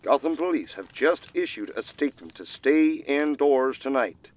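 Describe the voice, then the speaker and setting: A man's voice: mid-range voice, expressive, normal speed, natural voice. An actor playing a serious male news anchor in an audio drama, with scripted breaking-news delivery. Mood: serious, urgent.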